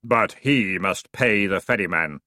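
A man's voice: sits low, unhurried, bright, forceful tone, no echo, clean studio sound. A man voicing a hooded skeletal ferryman of the dead, weary and grave with a hollow tone. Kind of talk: audiobook character voice.

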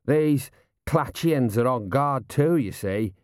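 Guy doing a nervous humble soldier in a storybook, halting n deferential, voice up a bit. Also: mid-range voice, animated, a little mellow, dry recording, clean studio sound, pitched above the performer's own voice. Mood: awkward. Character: hesitant, humble male common soldier on guard.

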